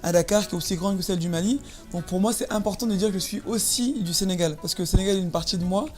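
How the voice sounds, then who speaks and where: A woman around thirty, from Paris, talks as a guest in a casual interview. She has a medium pitch and a halting delivery, doubling back on words as she looks for the right one. Music plays faintly behind her. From Paris, France. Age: about 30. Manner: halting, repeats words as she searches for phrasing.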